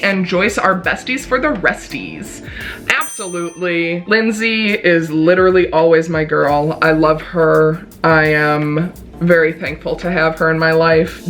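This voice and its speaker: Young Midwestern woman, lowish voice, playful and self-mocking, soft music behind. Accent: Midwestern American. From Chicago. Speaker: video host. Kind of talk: casual chat while doing makeup.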